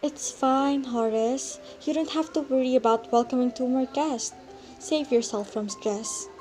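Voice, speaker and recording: A woman's voice: sits high, unhurried, darkish tone, soft background music. A young woman playing a polite, stubbornly apologetic society mother in an amateur stage play, speaking formally and insistently. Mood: politely insistent.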